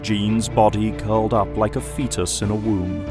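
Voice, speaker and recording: A man's voice: lowish voice, steady tone, moderate pace, over music. A man narrating an audio drama in a scripted, dramatic storytelling style.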